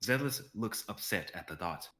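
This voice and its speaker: A man's voice: fairly low pitch, animated, normal speed, pushed and bright. A young man narrating a script in a dramatic reading style. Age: young adult. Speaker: narrator and reader.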